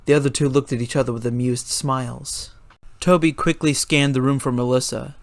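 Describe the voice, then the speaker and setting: A man's voice: mid-range voice, fairly even intonation, fairly quick, creaky, no echo. Man narrating a story, dry sound. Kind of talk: scripted dramatic story narration.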